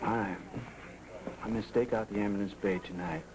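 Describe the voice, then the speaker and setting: An actor playing a guarded, wry investigator in a 1980s daytime soap, talking with many hesitant pauses. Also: male voice, lowish voice, steady tone, lightly acted. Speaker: soap opera character. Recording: hissy 1980s TV broadcast tape.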